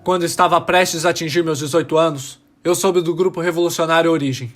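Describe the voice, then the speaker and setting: A man playing a bitter young alien revolutionary, giving deliberate, indignant testimony in an audio drama. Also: on the high side, steady tone, faint music behind, natural voice. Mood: resolute.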